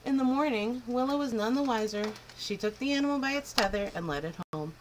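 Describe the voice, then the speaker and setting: A woman reading a picture book aloud to children in an expressive, lilting way. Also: fairly high pitch, brisk, noisy background. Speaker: children's storyteller. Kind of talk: storybook read-aloud.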